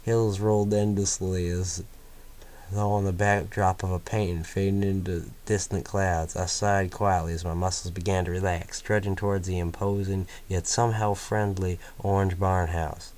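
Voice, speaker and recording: Deep-voiced man reading a story aloud. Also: little pitch movement, moderate pace, darkish tone. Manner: stumbling, chatty asides. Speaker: fanfiction reader.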